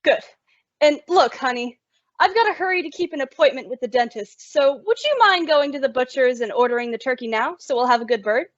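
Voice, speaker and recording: A woman's voice: high voice, animated, fairly quick, no room sound. A woman playing a sensible young woman in a radio comedy, a wry and diplomatic peacemaker. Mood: practical. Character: sensible young working girl, exasperated peacemaker. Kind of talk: old-time radio comedy acting. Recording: dull lo-fi recording.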